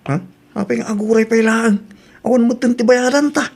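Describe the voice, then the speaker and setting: A man's voice: very high voice, steady tone, brisk, darkish tone, a little hiss or hum. A man with an Ilocano accent acting an easygoing, modest young husband in an audio drama. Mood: admiring, teasing. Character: easygoing young husband, agreeable, modest, devoted to his wife.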